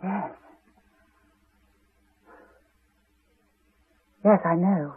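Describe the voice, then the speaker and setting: An actress playing a determined, probing, well-to-do wife with an English accent, polite, clipped and insistent, in a radio drama. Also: female voice, lowish voice, moderate pace, natural voice. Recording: dull, band-limited 1980s radio recording.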